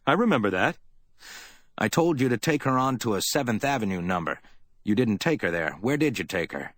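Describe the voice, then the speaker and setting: A man reading an audiobook, voicing a quick-tempered tough detective who talks loud, harsh and clipped. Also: mid-range voice, lively intonation, no room sound, studio quality, lightly acted. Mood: businesslike.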